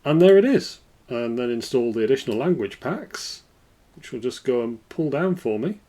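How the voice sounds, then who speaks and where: Male tech host, relaxed explainer, lively pitch, mid-range voice. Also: normal speed. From United Kingdom. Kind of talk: screen-recorded software walkthrough.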